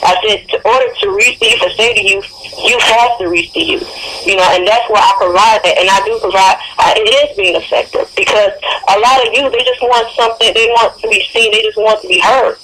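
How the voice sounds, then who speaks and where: A woman's voice: medium pitch, fairly quick, a bit bright. An American woman speaking as a phone-in radio interview guest, earnest and encouraging, repeating phrases for emphasis. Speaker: interview guest, youth TV show promoter. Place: calling in by telephone to a radio show. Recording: noisy phone call to radio show.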